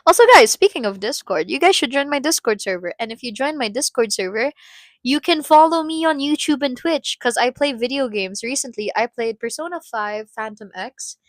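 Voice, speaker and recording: Woman streamer from the Philippines with a high-leaning voice, loose rambling chat full of restarts and laughs, dry sound. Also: animated, moderate pace, dry recording. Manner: rambling, self-interrupting, laughs often. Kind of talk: casual live-stream chat.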